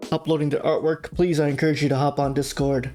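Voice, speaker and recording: A man hosting a casual livestream art class, talking informally and haltingly, with a slightly sore throat from a cold. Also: fairly high pitch, little pitch movement, darkish tone, faint music behind.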